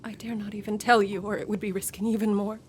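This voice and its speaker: A woman playing a haunted, secretive and gravely urgent intellectual with formal, theatrical diction in a radio horror drama. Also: mid-range voice, level tone, fast, a little hiss or hum, close to an everyday voice. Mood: grave, commanding.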